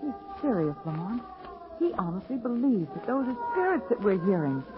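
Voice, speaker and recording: A woman's voice: medium pitch, big pitch swings, normal speed, music in the background, lightly acted. An actress playing a spirited, wry and slightly nervous lady companion in a 1940s radio drama, with theatrical delivery. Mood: nervous, astonished. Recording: muffled 1940s radio recording.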